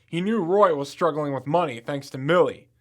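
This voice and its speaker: A man's voice: fairly high pitch, normal speed, fairly bright tone, natural voice. A man playing a confident young amateur campus detective in an audio drama, matter-of-fact with wry asides.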